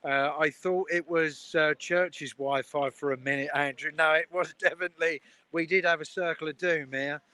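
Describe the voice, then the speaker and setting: Bright upbeat host. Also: male voice, a little high, steady tone, moderate pace, clean tone, no echo. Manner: upbeat, laughs often, reads viewer comments. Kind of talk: casual livestream chat. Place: outdoors at a railway station. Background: trains.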